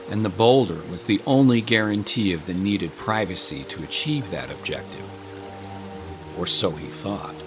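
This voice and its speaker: Guy narrating audio drama, lowish voice, measured n dramatic, music under it.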